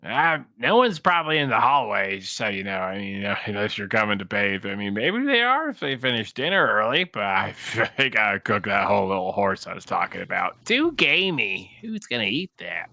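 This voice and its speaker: A man's voice: lowish voice, sing-song, moderate pace, vocal fry, hardly any pauses, pushed and bright, no room sound, clean studio sound, exaggerated voice. A man voicing a chatty, boastful male goblin in a tabletop game, rambling folksily.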